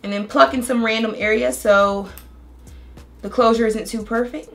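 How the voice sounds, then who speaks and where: Bright, chatty woman with a cold-raspy voice. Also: a little high, moderate pace, soft background music. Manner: chatty, excited, step-by-step demonstrating. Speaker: beauty vlogger. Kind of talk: casual hair tutorial and review.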